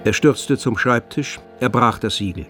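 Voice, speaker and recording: A man narrating a literary radio play in a measured, sober storytelling manner. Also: medium pitch. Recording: close dry studio, music underneath.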